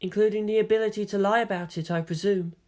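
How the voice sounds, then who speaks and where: A woman's voice: medium pitch, steady tone, fast talker, a little mellow, pitched above the performer's own voice, lightly acted. A woman voicing a cold, fierce young female commander who talks in a curt, impatient, commanding way.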